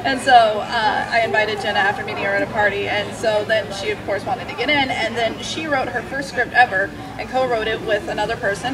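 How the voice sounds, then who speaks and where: A woman speaking loudly and enthusiastically on a panel at an outdoor book fair, holding the microphone away from her face. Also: fairly high pitch. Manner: loud, enthusiastic. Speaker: comics artist, panelist. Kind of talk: panel discussion answer. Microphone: handheld microphone held away from face. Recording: distant mic outdoors with crowd. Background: crowd noise of an outdoor fair.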